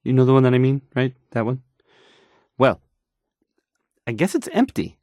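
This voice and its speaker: Stammering, anxious young radio DJ. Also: male voice, medium pitch, sing-song, moderate pace, a little mellow, no room sound, clean studio sound. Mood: nervous, unsure. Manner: stammering, hesitant, self-doubting, trailing off. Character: shy, anxious, awkward young male radio DJ. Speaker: radio DJ reading news. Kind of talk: awkward radio news patter.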